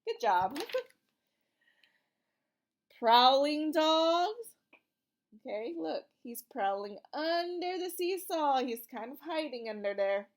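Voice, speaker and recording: A woman reads a picture book to small children in a high voice that swings up and down. She takes her time, stretching out short phrases with sing-song emphasis. She puts questions to her young listeners and praises them like a preschool teacher. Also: expressive, slow pace, pauses often. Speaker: children's read-aloud host, teacher-like.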